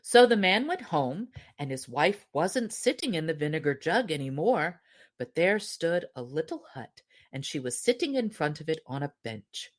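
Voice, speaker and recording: A woman reading a fairy tale aloud as scripted narration, in an expressive storytelling manner. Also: low voice, normal speed. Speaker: story reader.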